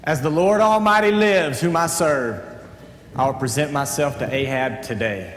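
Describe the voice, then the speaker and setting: A man's voice: on the high side, normal speed, fairly bright tone, natural voice. A man playing a bold, defiant prophet in a children's Bible stage play, declaiming with emphasis. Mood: solemn, challenging. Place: auditorium stage. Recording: echoey auditorium, voice at a distance, faint noise.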